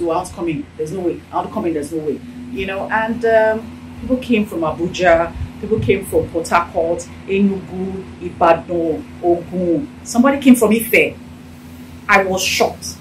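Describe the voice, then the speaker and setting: A middle-aged Nigerian woman with a Nigerian accent, telling her story in a casual, animated interview in an office. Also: medium pitch, distant mic, a little hiss or hum, low-quality recording. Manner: animated, gesturing while talking. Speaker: interviewee, business owner. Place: indoor office with sofa. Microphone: handheld phone held out by interviewer.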